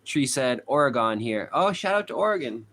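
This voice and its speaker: A man's voice: mid-range voice, very animated, moderate pace, fairly bright tone. A young man with a Boston accent hosting a casual true crime livestream, chatting with viewers, reading their comments aloud and laughing often. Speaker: true crime channel host. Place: hot room at home.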